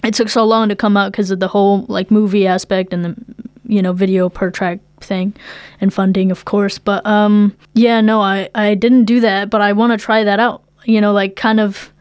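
Young kiwi woman chatting in an interview, slangy and informal, smooth clear voice, really dry close sound. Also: mid-range voice, little pitch movement, moderate pace, a little mellow, no echo. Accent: New Zealand. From Philippines, moved to New Zealand at eight. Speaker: musician, interview guest. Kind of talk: casual interview chat.

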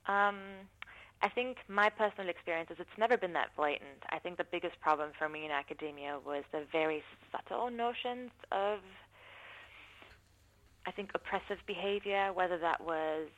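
Woman, interviewee, reflective, measured, rephrases as she talks. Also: on the low side, fairly bright tone, poor audio. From not from New Zealand. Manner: thoughtful, measured, often pauses to rephrase. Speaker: interviewee, university researcher. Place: New Zealand.

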